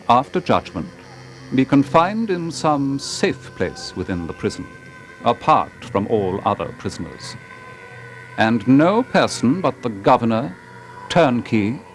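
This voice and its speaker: A man formally reading out old prison regulations in a declamatory manner. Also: medium pitch.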